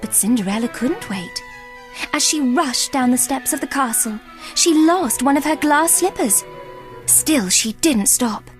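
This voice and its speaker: A woman narrating a scripted children's story in an expressive, dramatic way. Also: on the high side.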